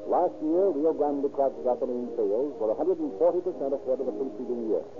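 A man working as a radio announcer, reading a formal, persuasive sponsor message in 1930s advertising style. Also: medium pitch. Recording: tinny old radio recording. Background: music.

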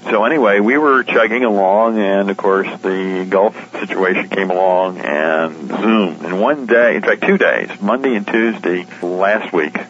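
Guy with a deepish voice explaining his publishing stuff in a radio interview, kinda dull muffled sound. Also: unhurried, hardly any pauses, a bit bright, a little hiss or hum. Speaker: publisher and editor of a shortwave radio guide, interviewee.

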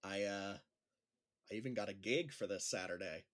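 A man's voice: on the low side, moderate pace, fairly bright tone, lightly acted. A man playing an awkward, self-deprecating schoolteacher in a naturalistic audio drama, with a hesitant manner. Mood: awkward, hopeful. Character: awkward, self-deprecating male schoolteacher trying stand-up comedy.

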